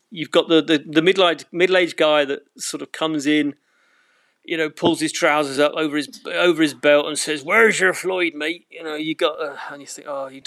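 A man's voice: a little high, sharp tone, dry recording. A British man in his fifties chatting casually as a podcast guest, telling anecdotes with wry, self-deprecating humour. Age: 50s. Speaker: podcast guest, record shop owner.

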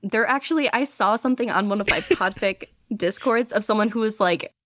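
A woman's voice: mid-range voice, brisk, fairly bright tone, no echo, clean studio sound. A woman guest chatting casually in an interview, rambling with lots of fillers and frequent giggles. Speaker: podfic reader and guest.

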